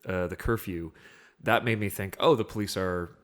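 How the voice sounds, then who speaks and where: A man's voice: sits low, moderate pace, a bit bright. A man in a casual film discussion, rambling and thinking aloud as a podcast panelist.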